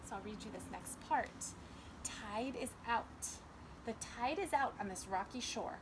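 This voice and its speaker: A woman's voice: a little high, lively intonation, measured pace, noisy background. A woman preschool teacher reading and explaining simply and instructively to young children. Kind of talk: children's read-aloud and simple lesson.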